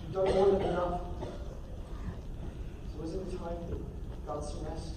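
A man's voice: high-pitched, level tone, a little slow, background noise. A young man acting an earnest, tender son in a live amateur stage drama, recorded in a theater. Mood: earnest, emotional. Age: teens or young adult. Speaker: stage actor in a school play. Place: large indoor theater stage. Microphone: distant audience camera. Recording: echoey theater stage from far off, music.

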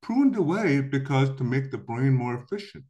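A middle-aged man from Korea gives a recorded lecture into a webcam in an indoor room. His voice moves up and down a lot as he explains, and he slips into quick acted-out quotes. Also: mid-range voice, sing-song, warm tone.

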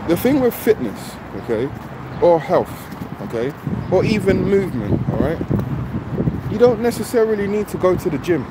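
A man's voice: high-pitched, very animated, moderate pace. A middle-aged man vlogging casually into a phone held at arm's length, repeating his points with emphasis and often asking "you know what I mean". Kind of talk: casual selfie vlog. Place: outdoors on a street by a shop, traffic around. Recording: loud street and car noise.

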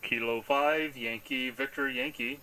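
A man's voice: medium pitch, fairly even intonation, measured pace. A man from the United States making contact calls as an amateur radio operator. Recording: very noisy radio shack clip, clicks.

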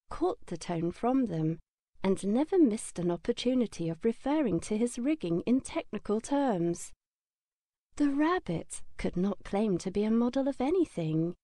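A woman's voice: medium pitch, warm tone, no echo, clean studio sound. A woman reading a children's story as an audiobook narrator, in a scripted storytelling style.

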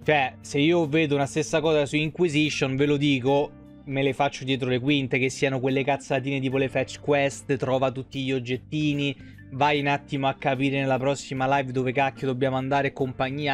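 A young man, chatting casually on a game livestream in an informal, rambling, sweary way. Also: mid-range voice. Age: young adult. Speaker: video game streamer. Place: small room indoors at a streaming desk. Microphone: desk microphone close up, with headset.

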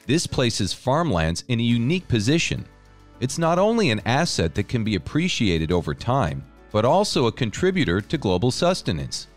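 A man reading a scripted narration in a smooth, evenly paced way. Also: mid-range voice. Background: soft background music.

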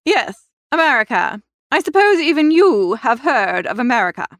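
Formidable older aunt female reader for comic storybook: critical, imperious, emphatic, high and very animated. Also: moderate pace, no room sound, clean studio sound, full character voice. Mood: scornful, commanding. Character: Aunt Agatha, bossy, formidable, critical upper-class older aunt.

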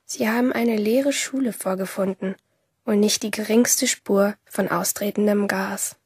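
A woman's voice: mid-range voice, steady tone, a little slow, darkish tone, slightly rough, dry recording, studio quality. An actress playing a shy, lovestruck teenage schoolgirl, narrating confidingly in a radio play. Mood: wry. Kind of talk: first-person radio drama narration.

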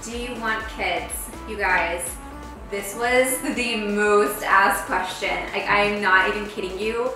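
A woman's voice: medium pitch, fairly even intonation, unhurried. Chatty woman in an echoey kitchen. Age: late 20s. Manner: chatty, bubbly, heavy use of "like". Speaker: lifestyle vlogger. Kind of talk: casual chat while cooking. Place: large home kitchen, indoors. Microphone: far camera mic. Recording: echoey kitchen from far off, background music.

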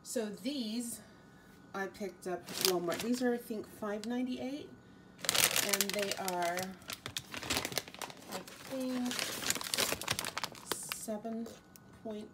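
Slow, chatty woman filmed from afar. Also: fairly high pitch, distant mic, heavy background noise. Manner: chatty, rambling, with frequent ums and restarts. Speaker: fitness vlogger. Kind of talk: casual grocery haul chat. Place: home kitchen, indoors.

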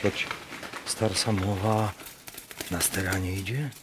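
An actor playing a simple, hard-drinking Masurian woodcutter who sees visions, in rustic, halting dialect for a radio play. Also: male voice, low-pitched, steady tone, heavy background noise. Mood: anguished doubt. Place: wooden barn, staged with musicians. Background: music.

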